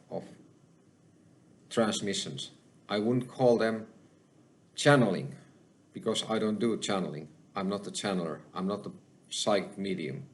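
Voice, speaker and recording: A man with a Finnish accent, hosting his own channel and talking alone to the camera, slow and halting, with many pauses. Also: lowish voice. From Finland. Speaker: channel host. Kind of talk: solo spoken monologue.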